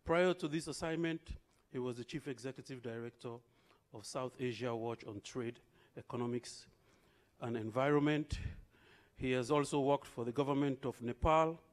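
A man's voice: medium pitch, moderate pace. A man moderating a conference panel, formally reading introductions from notes at a podium with a handheld microphone. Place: conference hall.

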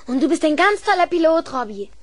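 A woman's voice: extremely high pitch, very animated, fairly quick. A woman playing an eager, earnest young boy inventor in a children's radio play. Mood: pleased, eager. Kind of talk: 1970s German children's radio play acting.

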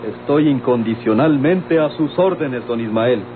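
An actor playing a courteous, deferential bank manager in an old radio drama, with a Mexican accent. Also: male voice, medium pitch, unhurried, close to an everyday voice. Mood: obliging. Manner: formal, deferential. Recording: tinny, noisy old radio drama recording.

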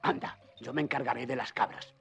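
A man's voice: medium pitch, steady tone, fairly quick, creaky, fairly bright tone, soft background music. An actor playing a friendly, helpful, curious village man in a Spanish cartoon dub. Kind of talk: Spanish-language animated series dubbing.

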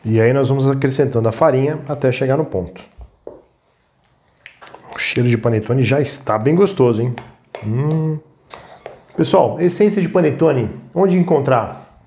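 Male cooking host, Brazilian accent, medium pitch, informal instructional delivery with frequent check-in tags. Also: mellow. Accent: Brazilian Portuguese. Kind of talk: casual step-by-step cooking demonstration.

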